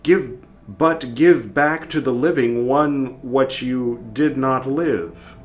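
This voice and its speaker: A man reading aloud as a solemn disembodied soul, questioning the dead slowly and gravely. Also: medium pitch, natural voice. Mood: stern. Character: solemn disembodied soul, male, stern and probing.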